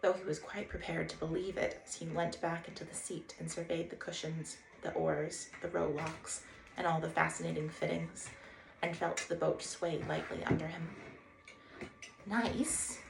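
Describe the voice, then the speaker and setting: A woman narrates a children's story in a roomy, echoing space with faint noise. Her voice sits slightly low and has plenty of creak. She reads expressively, as the host of a read-aloud. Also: flat delivery, normal speed.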